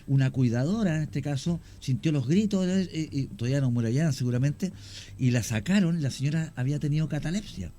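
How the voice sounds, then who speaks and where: A middle-aged man with a Chilean accent, a guest on a radio show, telling stories slowly with many pauses and restarts. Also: fairly high pitch, lively intonation, dark tone. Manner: unhurried, many fillers and restarts, anecdotal. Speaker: guest storyteller. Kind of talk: radio conversation, recounting cases.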